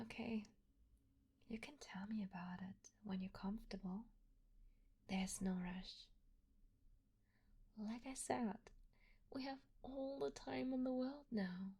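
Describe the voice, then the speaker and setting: Yandere kidnapper played by a woman: breathy, creaky, coaxing and possessive, intimate ASMR roleplay delivery often sinking to a whisper. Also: medium pitch, full character voice. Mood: tender, possessive. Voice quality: breathy, frequently dropping into whispers. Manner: soft, coaxing, slow, with hesitant pauses. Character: obsessive, lovestruck yandere female kidnapper, doting and possessive. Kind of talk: ASMR roleplay, intimate one-sided address to the listener.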